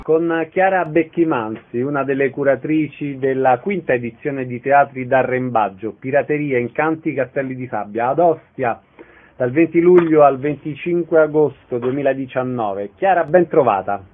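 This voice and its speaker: A man's voice: medium pitch, normal speed, soft background music. A man hosting a radio interview programme, delivering prepared introductions and questions to guests. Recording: narrow-band, tinny radio sound.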